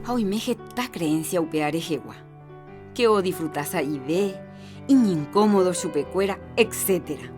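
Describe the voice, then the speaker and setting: A woman hosting a health radio program, explaining calmly and playing a counselor in its drama. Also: lowish voice, measured pace. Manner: calm, explanatory. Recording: radio program with background music.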